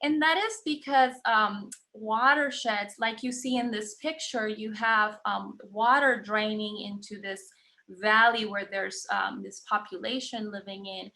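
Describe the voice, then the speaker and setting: A woman's voice: medium pitch, a little slow, creaky, sharp tone. A woman from Puerto Rico giving an academic seminar talk as a guest lecturer, conversational, with fillers and restarts.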